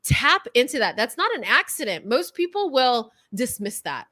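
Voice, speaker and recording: A middle-aged woman with a California Valley accent, whose first language is Korean, talking fast and passionately as a coach in an interview, sometimes stumbling over her words. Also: a little high, sing-song, sharp tone, dry recording, clean studio sound. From Southern California. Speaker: guest coach. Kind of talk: energetic interview chat.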